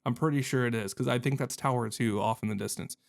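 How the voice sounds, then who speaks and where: Male gamer, mid-pitched voice, casual playthrough commentary, rambling, jokey, goofy mild exclamations. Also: fast, vocal fry. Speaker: gamer hosting a playthrough video. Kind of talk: casual, reactive gameplay commentary.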